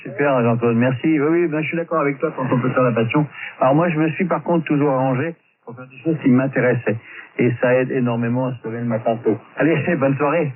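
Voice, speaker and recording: A man's voice: medium pitch, fast, background noise. A man with a mid-range voice chats informally as a radio amateur. The sound is narrow and tinny, like a voice through a small radio speaker. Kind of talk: casual ham radio chat. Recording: tinny band-limited radio transmission.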